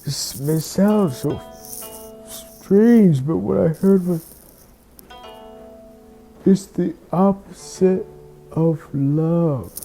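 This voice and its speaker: A person telling a reflective bedtime story in a slow, halting, musing way, often repeating words. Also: mid-range voice, gravelly, mellow, soft background music.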